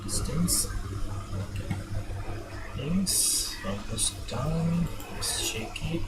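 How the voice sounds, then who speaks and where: A man in his thirties, a non-native English speaker, giving an unscripted software tutorial in a halting way, thinking aloud and repeating words. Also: a little high, expressive. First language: not English. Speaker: web design tutorial instructor. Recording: loud constant background noise.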